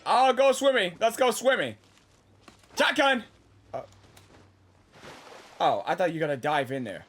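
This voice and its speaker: A gaming host giving casual live reactions in an excitable, playful, repetitive way. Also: medium pitch, measured pace. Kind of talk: casual live game commentary.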